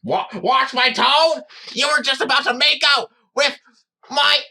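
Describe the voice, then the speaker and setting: A man voicing a heartbroken, outraged male teacher who stammers and shouts in a comic audio drama. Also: steady tone, a little slow, creaky, far from the mic, heavily acted. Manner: stammering, shrill outbursts. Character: distraught jilted male teacher, shrieking cartoon lover.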